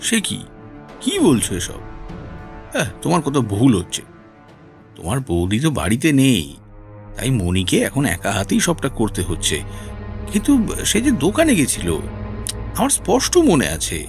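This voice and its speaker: A man acts out an anxious middle-aged householder for an audio drama. His pitch moves in lively swings as he repeats himself with urgency, with music underneath. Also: moderate pace, long pauses. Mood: shocked disbelief. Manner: agitated, repeats words urgently. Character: worried middle-aged householder, shocked and frantic about his servant. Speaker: actor in an audio story. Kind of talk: Bengali audio drama acting.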